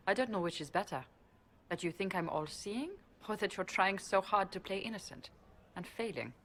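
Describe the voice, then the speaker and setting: An actress playing a sly, sharp-tongued spymaster in a video game, mocking and controlled. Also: female voice, mid-range voice, moderate pace, lightly acted. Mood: mocking, accusing.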